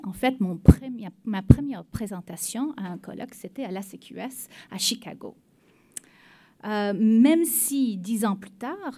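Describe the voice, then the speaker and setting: A middle-aged woman from Western Canada, a native English speaker, giving a measured academic talk from notes in a meeting room, speaking into a table mic. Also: medium pitch, darkish tone. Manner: measured, reads from notes with frequent "euh" fillers. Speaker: professor on a conference panel. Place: meeting room with seated audience. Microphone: table mic on stand.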